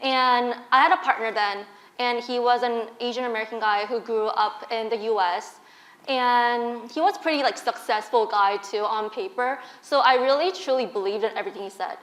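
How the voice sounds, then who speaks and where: A woman from Korea, a guest on stage, tells her personal story openly and earnestly with soft laughs. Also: fairly high pitch, normal speed, roomy sound. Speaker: guest speaker.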